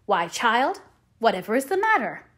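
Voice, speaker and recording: A woman voicing a stern, practical older female guardian in an audiobook, brisk and matter-of-fact. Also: on the high side, very animated, a bit bright. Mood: measured, practical. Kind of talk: audiobook character voice.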